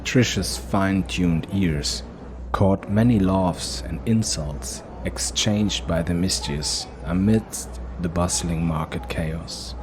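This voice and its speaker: A man narrates a dark fantasy audio drama with a very low voice and an unhurried, measured delivery. A steady hiss sits under the recording.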